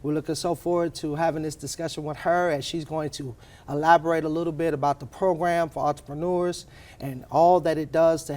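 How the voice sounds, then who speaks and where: A man's voice: on the high side, a little mellow. Man hosting a business interview. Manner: formal, welcoming. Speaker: podcast host. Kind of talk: scripted introduction and interview questions.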